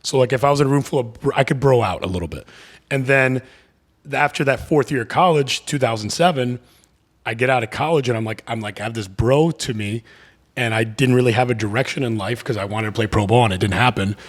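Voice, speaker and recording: Deep-voiced man rambling in casual chat. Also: quick pace. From Miami area. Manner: rambling, many fillers and restarts. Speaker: podcast guest. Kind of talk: casual podcast conversation.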